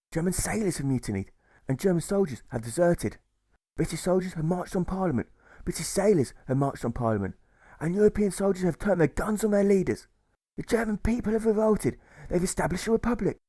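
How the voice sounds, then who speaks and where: A man puts on a genteel suffragist woman from a storybook. She declaims in a raised, high voice with impassioned flair. The sound is dry and close. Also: lively intonation, fairly quick, warm tone, no room sound. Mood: rousing. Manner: flamboyant, declamatory, speechifying.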